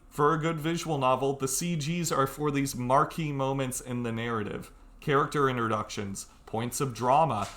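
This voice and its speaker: A man's voice: a little high, fairly bright tone. A young man hosting an informal tutorial, talking to viewers in a frank, emphatic, conversational way. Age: young adult. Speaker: tutorial host.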